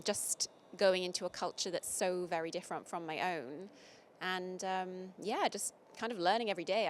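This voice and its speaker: A woman from the UK with a British accent, giving thoughtful, measured answers in a casual interview. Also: fairly low pitch, slight background noise. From United Kingdom. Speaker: interviewee, video creator.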